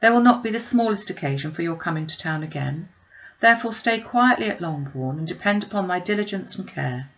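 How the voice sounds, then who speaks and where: A woman's voice: on the low side, animated, fairly quick, a bit bright. A woman narrating an audiobook, reading a classic novel aloud. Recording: slightly dull, muffled recording.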